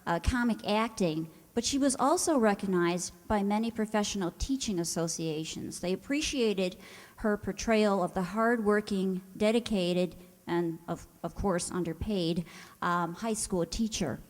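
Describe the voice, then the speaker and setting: A woman's voice: medium pitch, measured pace. Woman host introducing a show to an audience, conversational, mid-range voice, long room reverb. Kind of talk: informal spoken introduction to an audience. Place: hall, before an audience. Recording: echoey hall.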